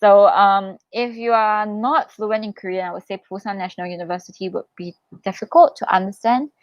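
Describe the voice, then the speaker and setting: A young adult woman hosting a casual live Q&A, reading out questions and giving chatty, expressive, reassuring advice. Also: medium pitch, brisk, dry recording, muffled recording. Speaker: livestream host, international student in Korea.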